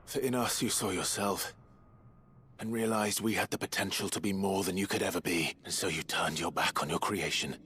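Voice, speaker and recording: A deep, defiant game hero. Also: male voice, fairly even intonation, normal speed, pushed and bright, slightly rough, heavily acted. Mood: accusing, scornful. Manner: intense, emphatic, dramatic declarations. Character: defiant, resolute male hero standing against his creator god. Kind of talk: scripted dramatic video game voice acting.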